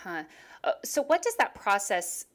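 A woman's voice: mid-range voice, huge pitch swings, unhurried. A woman interviewing casually, listening attentively and phrasing things in a tentative way.

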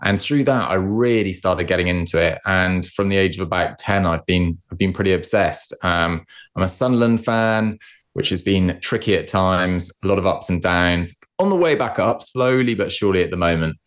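A man's voice: sits low, normal speed. A man in his late twenties, born in Scotland but with an English accent, chatting casually and self-deprecatingly as a podcast guest over a remote call. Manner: relaxed, self-deprecating, chatty with many fillers. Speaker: podcast guest, football presenter. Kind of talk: casual interview chat.

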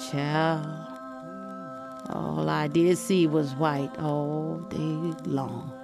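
Woman acting elderly Southern grandmother, formerly enslaved, sorrowful, weary dialect, slow, low voice, dramatic storytelling, music behind. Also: flat delivery, creaky, heavily acted. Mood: pained, remembering.

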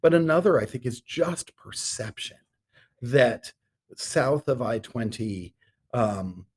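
A man's voice: medium pitch, expressive, takes it slowly, a little hiss or hum. A middle-aged man speaking as a theatre director being interviewed on a podcast, articulate and enthusiastic, with ornate turns of phrase.

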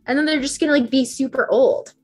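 A woman's voice: sits high, quick pace, husky, soft background music. A woman in her twenties, a podcast guest in casual chat, rambling and chatty with lots of "like" fillers. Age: 20s. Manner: chatty, rambling, heavy use of "like".

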